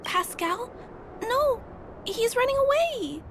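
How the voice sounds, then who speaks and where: A woman voicing a gentle, earnest young girl in a video game, polite and resolute. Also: very high voice, lively intonation, slow, slight background noise, exaggerated voice. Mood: alarmed, urgent.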